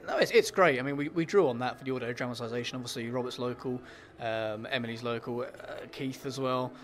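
A man with an English accent, an author answering interview questions in a halting way, full of ums and repeated words. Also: mid-range voice, fairly bright tone, slight background noise.

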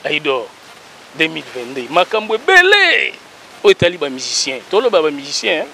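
Bright high-voiced energetic host. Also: male voice, a little fast, gravelly, a little hiss or hum, rough recording. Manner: energetic, emphatic, rattles off shout-outs. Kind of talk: talk show chat. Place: outdoor garden by a wall. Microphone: handheld mic.

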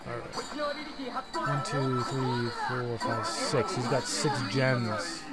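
A man talks through a friend's arcade match in a loose, offhand way, with plenty of fillers. His voice sits mid-range and sounds a bit worn from a recent cold. Loud game music blares around him, caught on a phone camera. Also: medium pitch, fairly even intonation, takes it slowly. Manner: chatty, offhand, lots of fillers. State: recovering from a cold. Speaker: gameplay narrator. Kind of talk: casual arcade play-by-play commentary. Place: indoor toy store arcade.

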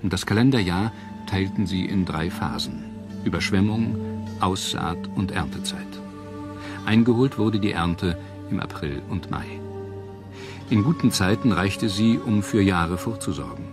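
Deep-voiced male documentary narrator over music. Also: measured pace. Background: background music.

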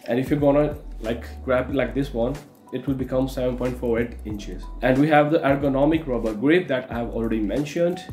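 A man's voice: medium pitch, fairly quick, dark tone, roomy sound, soft background music. A young man from India with an Indian accent, speaking English as a second language in a casual tech unboxing with lots of "you know" fillers. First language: not English. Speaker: tech reviewer. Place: indoor studio room with curtains.

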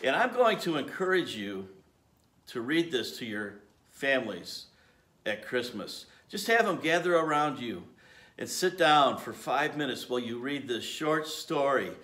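A man's voice: medium pitch, very animated, a little slow, bright, forceful tone. A middle-aged man in his fifties giving an earnest sermon from his home garage, gesturing as he speaks to a camera at desk distance. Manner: earnest, gestures with his hands while preaching. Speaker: lay preacher.

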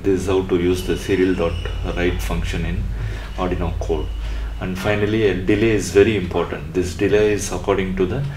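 A man narrating a coding tutorial step by step, unscripted, in a halting way. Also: deep voice, steady tone, a little mellow. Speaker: electronics tutorial presenter. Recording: roomy echo with faint background noise.

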